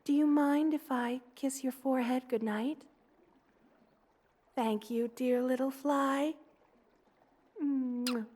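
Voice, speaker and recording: A woman voicing a gentle, motherly spider queen in an ASMR roleplay, tender, cooing and soothing as she dotes on the listener. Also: high voice, fairly even intonation, slow, long pauses. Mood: playful, doting. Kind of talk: ASMR roleplay, personal attention.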